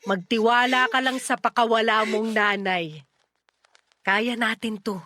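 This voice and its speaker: A woman's voice: on the high side, unhurried, no room sound. A Filipino woman acting as a playful, fiercely protective single mother around forty in a melodramatic radio drama. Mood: tender, reassuring. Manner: animated, teasing, exclamatory.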